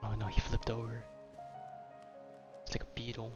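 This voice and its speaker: A man's voice: mid-range voice, monotone, normal speed. A man giving casual live reactions as he streams a game. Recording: dull mic under loud game music. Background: loud game music.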